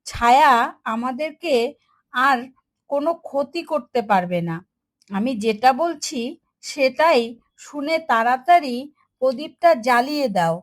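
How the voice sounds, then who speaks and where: A woman playing a woodcutter's wise, pious young daughter in a cartoon fairy tale, earnestly instructing. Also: sits high. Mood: urgent, confident.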